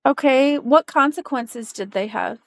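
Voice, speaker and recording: A woman with a high voice talks on a tense phone call. She stays calm and hesitant, choosing her words carefully as she asks probing questions. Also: unhurried, dry recording. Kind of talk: tense personal conversation.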